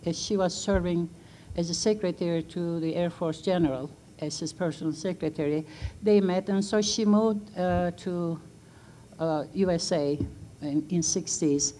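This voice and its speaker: A woman's voice: lowish voice, normal speed, dark tone, slight background noise, poor audio. A Turkish-born woman in her sixties, a non-native English speaker, telling personal stories on a museum panel with frequent fillers and laughs, into a table mic on a stage. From Turkey. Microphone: table mic on stand.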